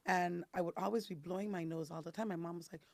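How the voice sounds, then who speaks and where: A woman from the Caribbean with a raspy, hoarse voice, talking as a guest and explaining things step by step with frequent ums. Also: lowish voice, fairly even intonation, quick pace, a little mellow, no room sound. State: hoarse from detox. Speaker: guest, detox specialist. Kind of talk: casual interview talk.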